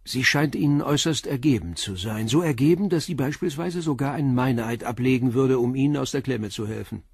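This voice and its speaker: An actor playing a calm police inspector who questions a suspect with short, probing questions in a radio play. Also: male voice, mid-range voice, fairly quick, husky, no echo, clean studio sound.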